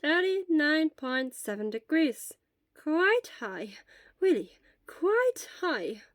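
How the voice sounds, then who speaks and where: A woman voicing a kindly, brisk elderly school nurse who speaks in a slow, deliberate way. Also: sits high, very animated, no room sound, studio quality, voice pushed up, heavily acted. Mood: matter-of-fact.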